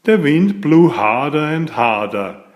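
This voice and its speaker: Slow, animated man reading a storybook. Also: on the high side, dull audio. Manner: deliberate, expressive storytelling. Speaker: author reading aloud. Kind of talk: children's picture book narration.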